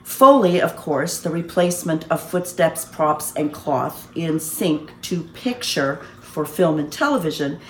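Female expert interviewee, middle-aged or older, lowish register, explanatory, roomy recording with light background noise. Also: animated, measured pace, few pauses, a bit bright. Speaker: professional Foley artist and teacher. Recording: echoey, faintly noisy room.

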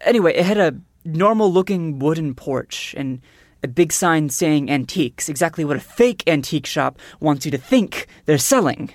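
A woman, a podcast producer, telling a scripted comic story in a halting, stammering, insistent way. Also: deep voice, lively intonation, darkish tone, dry recording, studio quality.

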